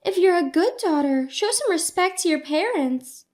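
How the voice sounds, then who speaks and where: Self-righteous middle-aged mom for a storytime drama, played by a female narrator: very high, prim, reproachful. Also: animated, normal speed, darkish tone.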